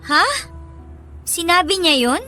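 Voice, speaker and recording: A woman's voice: extremely high pitch, takes it slowly, sharp tone, soft background music, full character voice. A woman playing an anxious young wife and mother in a radio drama, speaking in a hesitant, plaintive way. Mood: dismayed, plaintive.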